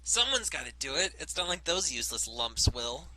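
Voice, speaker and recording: Guy doing a sporty girl game character, pitched way up, brash and loud, bright sound. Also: big pitch swings, brisk, full character voice, low-quality recording. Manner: loud, exaggerated, high-pitched. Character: brash sporty young woman from a visual novel.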